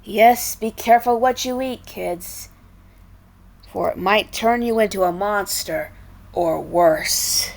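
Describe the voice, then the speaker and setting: A woman reading a children's story aloud in a deliberate, dramatic manner. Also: medium pitch, creaky.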